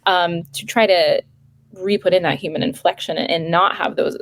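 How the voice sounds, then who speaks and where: A woman's voice: lowish voice, steady tone, moderate pace. A woman guest in a casual interview, explaining things in a conversational way. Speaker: threat intelligence analyst guest.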